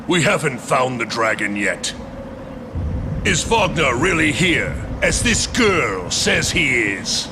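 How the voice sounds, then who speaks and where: A man's voice: mid-range voice, big pitch swings, takes it slowly, music in the background. A man voicing a treacherous, smug army general in a fantasy video game, speaking in a sneering, theatrical way. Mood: smug, menacing. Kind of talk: dramatic game dub acting.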